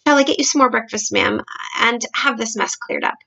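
A woman's voice: fairly high pitch, a little fast, gravelly, sharp tone, highs cut off, exaggerated voice. A woman voicing an anxious, deferential middle-aged maid for a storybook. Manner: deferential, fretful.

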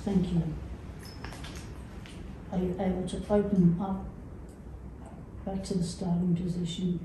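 A woman calmly instructing spirits during a ghost hunt, measured and methodical. Her tone is soft and mellow. She is heard from a distance in a bare room full of echo. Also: lowish voice, a little hiss or hum. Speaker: paranormal investigator. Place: dark empty room in an abandoned house. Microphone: camera from across the room. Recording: echoey distant room sound.

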